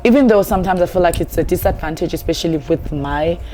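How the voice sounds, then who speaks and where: A woman's voice: lowish voice, quick pace, mellow, faint music behind. A woman from Zimbabwe chatting casually and candidly as a guest. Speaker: guest, Amapiano DJ and producer.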